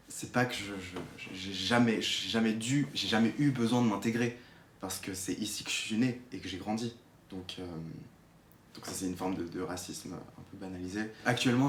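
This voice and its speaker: Low-voiced young man, echoey room. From Geneva, Switzerland. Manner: hesitant, searching, repeats words while explaining. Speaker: drama student giving a testimony. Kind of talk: unscripted personal testimony. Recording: echoey room with faint noise.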